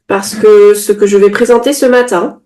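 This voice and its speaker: A woman's voice: medium pitch, steady tone, mellow. Woman interpreting a sermon. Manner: quick restating, occasional self-corrections.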